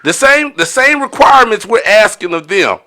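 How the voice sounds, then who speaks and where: A man's voice: sits high, animated, moderate pace, bright, forceful tone. A man hosting a casual group podcast, talking in slang and prompting and echoing the others. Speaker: podcast host.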